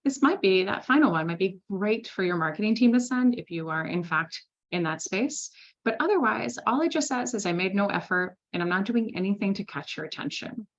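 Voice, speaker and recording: Brief: woman sales trainer, lively conversational delivery, mid pitch, slightly muffled audio. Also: fairly quick. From Switzerland. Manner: lively, conversational, with frequent "right?" tags. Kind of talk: presenting a masterclass.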